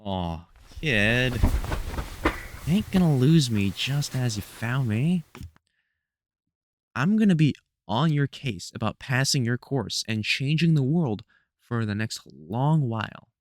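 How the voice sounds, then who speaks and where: A man's voice: mid-range voice, animated, takes it slowly. A man playing a protective, sardonic veteran vampire hunter in an audio roleplay, scolding fondly in colloquial speech. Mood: reassuring. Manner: colloquial, sardonic, scolding mentor with mild swearing. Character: gruff, protective veteran male vampire hunter, exasperated but fond. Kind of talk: scripted audio roleplay, one-sided conversation.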